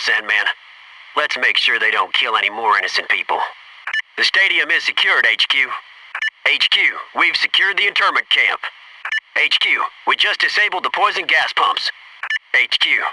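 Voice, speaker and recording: An actor playing a gung-ho, wisecracking Navy SEAL soldier in a military video game, giving clipped tactical callouts. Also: male voice, medium pitch, natural voice. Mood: wary, low. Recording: noisy radio-style game audio, slightly distant.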